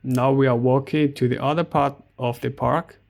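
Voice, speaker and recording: A middle-aged man from China with a Chinese accent, narrating a walking tour of an outdoor park in slow, halting speech full of fillers. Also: medium pitch, fairly even intonation, vocal fry, darkish tone. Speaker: vlogger.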